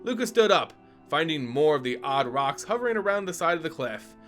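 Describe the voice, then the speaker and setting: A man's voice: fairly high pitch, big pitch swings, a little fast, a bit bright, soft background music. A man giving a theatrical, emphatic dramatic reading of a fantasy story as a storyteller.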